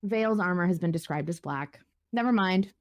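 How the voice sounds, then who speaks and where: A woman's voice: lowish voice, brisk. A woman hosting a podcast with casual, playful and wry banter. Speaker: podcast host.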